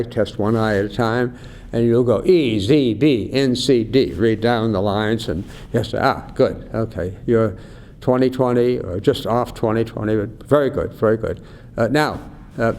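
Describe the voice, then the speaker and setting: An older man, an eye researcher, explaining slowly and carefully in an interview, acting out doctors and patients as he goes. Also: darkish tone. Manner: measured, acts out doctor and patient. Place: conference venue. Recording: faint low rumble behind.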